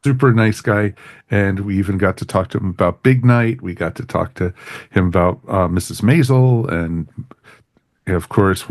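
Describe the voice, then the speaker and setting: A man's voice: lowish voice, a little mellow, no room sound, studio quality. A man hosting a podcast in a casual, informal way, chatting through plugs and sign-offs with folksy catchphrases. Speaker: podcast host.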